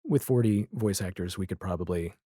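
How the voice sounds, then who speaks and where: A man talking casually as a podcast guest, animated and rambling, with a self-deprecating streak. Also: deep voice, brisk, husky, dry recording, clean studio sound. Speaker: podcast guest, audio drama creator. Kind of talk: casual podcast interview chat.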